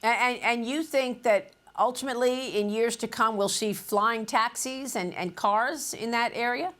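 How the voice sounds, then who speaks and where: A woman's voice: on the high side, unhurried, a bit bright. A woman anchoring a TV business news show, reading a polished, upbeat intro and asking questions in a studio. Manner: polished, upbeat broadcast delivery.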